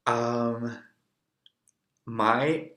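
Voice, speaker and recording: A young man from Taiwan, a guest musing thoughtfully through casual answers with soft fillers. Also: mid-range voice, fairly even intonation, drawn-out words. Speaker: interview guest. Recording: roomy echo.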